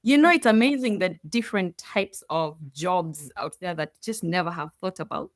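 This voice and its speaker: A woman's voice: fairly low pitch, moderate pace, dry recording, studio quality. A woman co-hosting a podcast, reacting with enthusiasm and appreciation. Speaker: podcast co-host. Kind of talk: casual chat.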